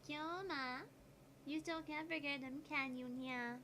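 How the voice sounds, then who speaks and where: Playful anime-game cat-girl maid, acted by a woman: high, cutesy, mewing verbal tics, faint background noise. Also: fairly even intonation, a little slow, sharp tone. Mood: teasing. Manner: adds cat-like nya sounds to sentences.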